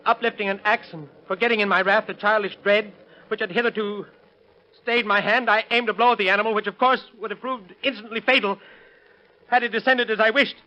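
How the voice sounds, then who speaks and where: An unhinged, high-strung radio villain, high-pitched. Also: male voice, fairly even intonation, a little fast, sharp tone. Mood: haunted recitation. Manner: theatrical, agitated, sneering. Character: unhinged, resentful, cowardly husband who turns killer. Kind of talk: 1930s radio melodrama acting. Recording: muffled, noisy vintage radio recording.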